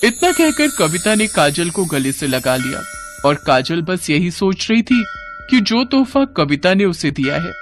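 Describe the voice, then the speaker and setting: A narrator telling a cartoon story in a scripted, expressive way. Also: mid-range voice, a little slow, over music.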